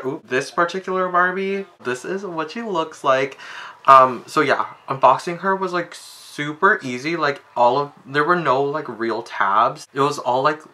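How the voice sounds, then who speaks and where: A young man casually reviewing a collector doll in his bedroom, chatty and full of fillers, with sudden excited jumps in pitch. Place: bedroom, indoors. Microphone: webcam framing at desk.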